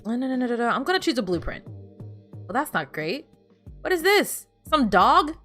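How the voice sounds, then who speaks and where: A woman's voice: high voice, sing-song, moderate pace, fairly bright tone, soft background music. A woman whose first language is Spanish, chatting casually with viewers while she plays a video game, rambling and often restarting her sentences. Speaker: streamer.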